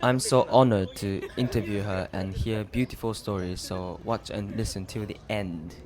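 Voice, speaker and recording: A man's voice: on the low side, fairly even intonation, normal speed, darkish tone. Man asking short interview questions. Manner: short simple questions, brief "mm" and "right" backchannels. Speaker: interviewer, host.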